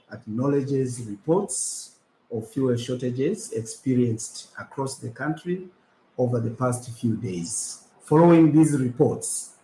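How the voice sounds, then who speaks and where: Echoey, slow man reading a statement. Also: medium pitch, warm tone. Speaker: government official. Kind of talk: formal read statement. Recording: echoey room with faint background noise.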